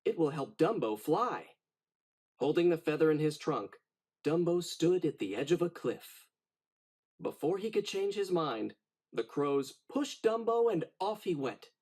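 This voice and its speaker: A man reads a children's story aloud with theatrical expression. His voice sits fairly high and swings widely in pitch, with a slightly husky, breathy edge. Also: unhurried. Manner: animated, dramatic storytelling. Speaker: storybook narrator.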